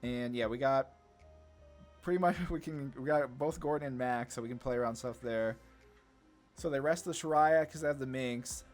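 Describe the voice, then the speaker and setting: A man's voice: mid-range voice, moderate pace. Casual man commentating gameplay over music. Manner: laid-back, thinking aloud. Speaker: gaming content creator.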